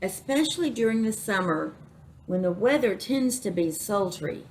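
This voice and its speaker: A woman's voice: medium pitch, lively intonation, moderate pace, darkish tone, close to an everyday voice. Polite, proper, well-spoken male recruit voiced by a woman for a pirate storybook, precise manner, audible noise. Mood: politely earnest. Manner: formal, precise, overly proper wording. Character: prim, polite, well-spoken rascal man applying as pirate. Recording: noticeable background noise.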